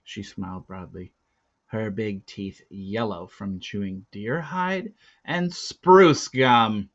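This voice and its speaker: Male read-aloud narrator, children's novel, dramatic phrasing, unhurried pace, slightly dull recording. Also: fairly low pitch. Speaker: story reader.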